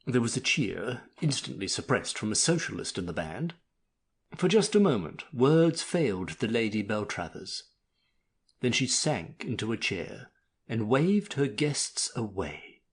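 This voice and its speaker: Animated, husky male storyteller. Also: mid-range voice, measured pace. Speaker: narrator. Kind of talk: expressive scripted story narration.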